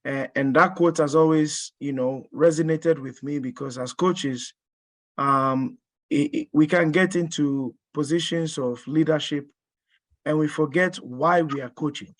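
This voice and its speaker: A man's voice: a little high, fairly even intonation, unhurried, no room sound. A man in his thirties, born in Ghana and working as a strength and conditioning coach, gives a webinar presentation in a hesitant way, full of fillers and restarts.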